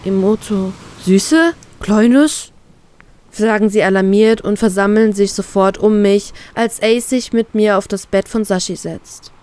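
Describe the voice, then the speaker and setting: A woman reading her own fan fiction aloud in an expressive, emotional way. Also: mid-range voice, moderate pace, hardly any pauses, smooth. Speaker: fan fiction author and reader.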